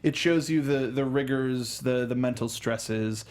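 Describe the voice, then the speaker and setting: A man's voice: medium pitch, measured pace, a little mellow. A man hosting a film review show in casual discussion, often restarting and repeating his phrases.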